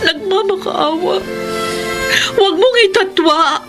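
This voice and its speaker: An actress playing a pleading, heartbroken young single mother in a Filipino radio melodrama, with a Filipino accent and an emotional, drawn-out delivery. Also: very high-pitched. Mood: tearful pleading. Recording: radio drama with music underneath.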